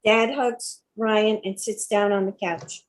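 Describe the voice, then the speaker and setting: A woman reads out stage directions from a script. Her pace is markedly slow and her pitch sits in the middle. The delivery is scripted narration. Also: steady tone.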